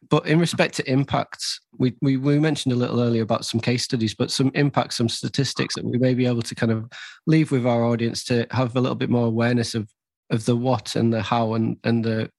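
Male podcast host, level monotone delivery with vocal fry, dry close recording. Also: mid-range voice, no echo. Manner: measured, repeats phrases, many restarts. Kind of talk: conversational interview.

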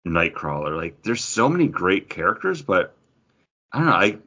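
A man's voice: low-pitched, very animated, moderate pace, sharp tone, muffled recording. A man co-hosting a podcast in casual geek-culture chat, rambling and interrupting himself with enthusiasm. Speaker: podcast co-host.

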